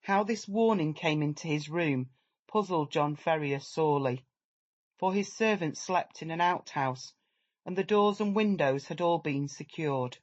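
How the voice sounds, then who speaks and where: A man narrating a literary story as a measured, dramatic audiobook reading. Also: a little high, muffled recording.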